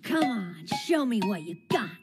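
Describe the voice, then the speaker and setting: A woman voicing a bossy, excitable, protective young female teacher in an anime dub, brash and scolding. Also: mid-range voice, normal speed, over loud music, close to an everyday voice. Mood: challenging, cocky. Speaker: voice actor in a fan anime dub.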